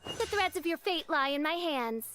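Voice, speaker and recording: A woman's voice: high-pitched, expressive, moderate pace, noisy background. A woman voicing a haughty, theatrical teenage girl who poses as a princess in an anime game, speaking in pompous archaic phrases. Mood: grandiose. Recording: game music behind the voice.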